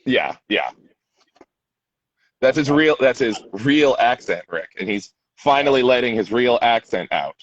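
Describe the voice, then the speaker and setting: A man's voice: mid-range voice, animated, a little slow, highs cut off. A man co-hosting a wrestling podcast in casual chat, agreeable and rambling, with frequent yeahs. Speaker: podcast co-host.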